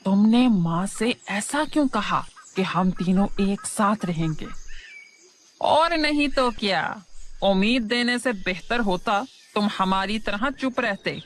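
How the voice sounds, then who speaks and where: A woman voicing a stubborn, self-centred young brother with a blunt, deliberate delivery in a children's cartoon moral story. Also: medium pitch, expressive, slight background noise. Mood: irritated.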